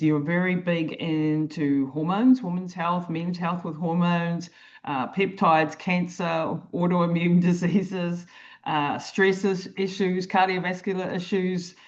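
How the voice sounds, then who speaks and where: A woman hosting a podcast, welcoming her guest in an enthusiastic, easygoing way. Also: lowish voice. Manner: enthusiastic, warm welcomes, easy chat. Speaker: podcast host. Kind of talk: casual interview chat. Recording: dull lo-fi sound.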